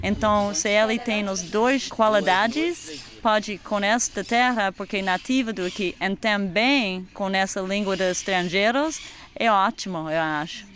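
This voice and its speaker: A man giving an interview answer, halting and searching for his words. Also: sits high, fairly bright tone.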